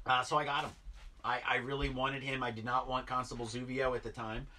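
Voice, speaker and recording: A man's voice: mid-range voice, flat delivery, a little fast, pushed and bright, distant mic. A man in his thirties chatting casually while unboxing toys in a basement, rambling with lots of fillers. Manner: rambling, filler-heavy, enthusiastic about toys. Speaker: toy collector vlogger. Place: basement toy room, indoors.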